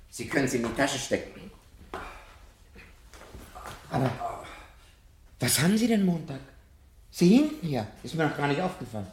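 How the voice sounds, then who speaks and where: An actor playing an elderly, learned professor, courteous and anxious, in a 1970s German radio drama. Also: male voice, fairly high pitch. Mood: hospitable, wry. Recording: roomy, faintly noisy vintage radio recording.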